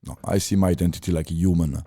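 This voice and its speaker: A deep, mellow-voiced Italian man in his thirties talks as a podcast guest. His English is halting and filled with pauses and restarts, but he speaks firmly. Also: steady tone, husky. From Italy. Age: 30s. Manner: halting non-native phrasing with many fillers and restarts. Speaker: podcast guest, former chef. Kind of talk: casual interview talk.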